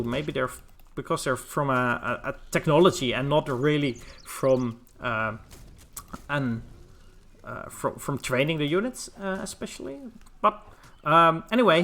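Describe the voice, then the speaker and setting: A man's voice: fairly high pitch, pushed and bright, slight background noise. A Dutch man with a Dutch accent doing solo play-by-play commentary of a strategy game, with frequent ums and restarts. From Netherlands. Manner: many fillers and restarts, chats with the live chat. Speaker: esports caster.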